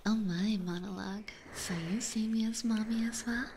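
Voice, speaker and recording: A woman with a Californian accent doing playful ASMR chat in a breathy whisper, close to a binaural microphone. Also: a little high, fairly even intonation, normal speed, darkish tone, slight background noise. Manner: playful, teasing, giggly. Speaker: ASMR streamer playing a vampire catgirl. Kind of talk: ASMR, casual chat with live viewers. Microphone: close binaural ear microphone.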